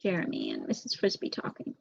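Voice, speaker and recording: A young adult woman reading a children's book aloud as an expressive narrator. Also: fast talker, dull audio. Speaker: story reader.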